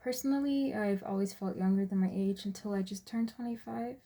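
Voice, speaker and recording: Mellow young American woman presenting. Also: medium pitch, brisk. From US Virgin Islands and Texas, USA. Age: 20s. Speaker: student presenter. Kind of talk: prepared class presentation.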